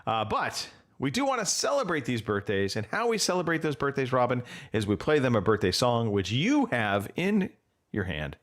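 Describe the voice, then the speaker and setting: A man hosting a podcast in an upbeat, casual manner, his pitch rising and falling a lot as he talks. His voice sits at a middle height. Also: huge pitch swings, normal speed. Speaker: podcast host. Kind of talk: casual chat.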